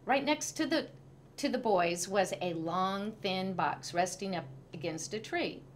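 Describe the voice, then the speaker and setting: A woman storyteller reading a children's picture book aloud in an expressive way. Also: mid-range voice, unhurried, a little hiss or hum.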